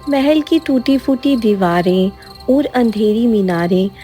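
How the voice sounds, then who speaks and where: A woman's voice: fairly high pitch, takes it slowly, mellow, faint music behind. A woman narrator reading a scripted dramatic fairy tale as a storyteller.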